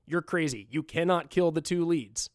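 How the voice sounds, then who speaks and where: A man voicing an animated film director who recalls events with emphatic, dramatic pauses, as in an interview. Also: fairly high pitch, natural voice. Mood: earnest, resigned. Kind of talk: acted reading of interview quotes.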